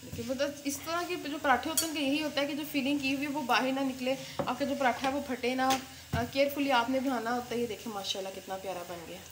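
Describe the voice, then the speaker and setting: A young woman with a slightly high voice hosts a home cooking vlog, talking viewers through each step in a relaxed, chatty way. She is recorded in a home kitchen, with clear cooking noise and sizzling behind her. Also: fast talker. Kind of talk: casual cooking vlog narration.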